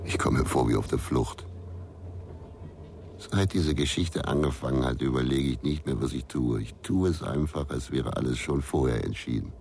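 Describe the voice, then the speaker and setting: An actor playing a troubled, stubborn investigator in a radio crime drama, terse and brooding, over background noise. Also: male voice, very deep voice, moderate pace, darkish tone.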